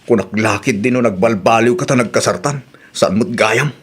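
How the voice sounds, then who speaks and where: An actor with an Ilocano accent playing a wronged, fed-up young husband in a radio drama. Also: male voice, medium pitch, normal speed, a bit bright, husky. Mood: reproachful. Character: wronged, fed-up young husband confronting his lying wife.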